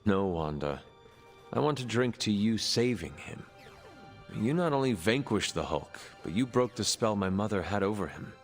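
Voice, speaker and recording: An actor playing a formal, old-fashioned young man in a video game, the son of a demon queen, with a gravelly voice. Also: on the low side, sing-song, moderate pace, soft background music. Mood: calm. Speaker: game character. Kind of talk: video game cutscene acting.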